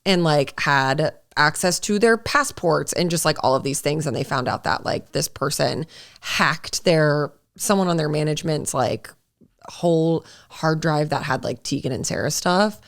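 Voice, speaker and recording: A woman's voice: low-pitched, a little slow, gravelly. A young American woman co-hosting a podcast, chatting casually in blunt, emphatic slang. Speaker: podcast co-host. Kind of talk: casual chat.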